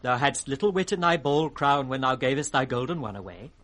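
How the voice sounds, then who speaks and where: An actor playing a witty, impudent court fool in radio Shakespeare, with a sing-song, riddling delivery. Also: male voice, medium pitch, lively intonation, fairly quick, fairly bright tone, close to an everyday voice. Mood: mocking. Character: witty, mocking court fool, teasing the king. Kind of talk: 1960s British radio Shakespeare acting, with sung rhymes. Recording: vintage 1960s radio drama recording.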